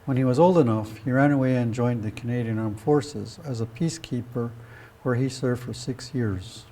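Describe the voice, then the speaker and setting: A man's voice: medium pitch, moderate pace, warm tone, slight background noise, rough recording. A man reading a scripted biographical introduction of a guest speaker as a presenter.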